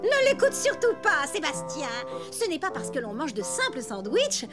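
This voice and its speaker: A very high-pitched, snobbish high-society mother from a cartoon, swoops through her formal, pompous lines. Her delivery is theatrical and overbearing, with loud music playing behind her. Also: wildly swooping pitch, moderate pace. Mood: prim, commanding. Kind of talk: French children's cartoon dubbing.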